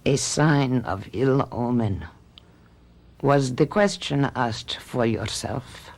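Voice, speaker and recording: An actress playing a solemn, commanding satanic tarot reader, portentous and incantatory, in a radio drama. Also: female voice, very deep voice, takes it slowly, darkish tone, heavily acted. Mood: solemn, ominous.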